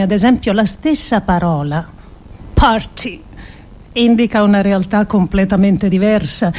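A woman performing a scripted literary monologue as a radio actress, solemn and deliberate, with emphatic phrasing and pauses. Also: on the high side, darkish tone.

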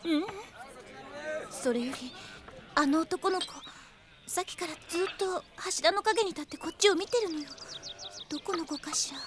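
An actress playing a caring young princess in a radio drama, polite and attentive. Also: female voice, very high-pitched, normal speed, pauses often, a crowd in the background, background noise. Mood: kind, concerned. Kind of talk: Japanese radio drama acting.

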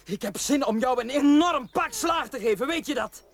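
A man's voice: very high-pitched, lively intonation, moderate pace, very noisy. Furious, domineering lord father. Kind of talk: radio drama acting.

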